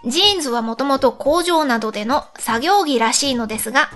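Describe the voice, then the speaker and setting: High-pitched, lively woman radio co-host. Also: quick pace, soft background music. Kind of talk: casual chat, reading listener letters.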